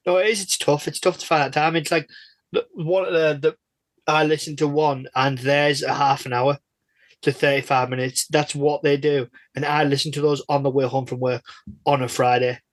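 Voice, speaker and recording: A man's voice: a little high, flat delivery, normal speed. A man hosting a casual podcast interview, chatting in a rambling, jokey and self-deprecating way. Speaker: guest host and interviewer of a podcast.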